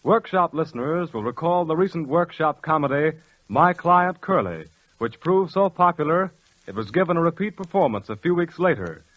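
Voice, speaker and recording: A man's voice: fairly high pitch, animated, normal speed, no echo. A man announcing a radio program from a script in formal 1940s style. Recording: dull old 1940s radio recording.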